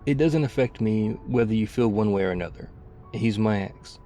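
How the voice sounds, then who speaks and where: Man playing a low-voiced, battle-weary apocalypse survivor. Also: moderate pace, warm tone, a little hiss or hum, natural voice. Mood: weary, confiding. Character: battle-hardened zombie apocalypse survivor, weary, guarded, quietly caring man. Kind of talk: ASMR audio roleplay, intimate one-sided dialogue.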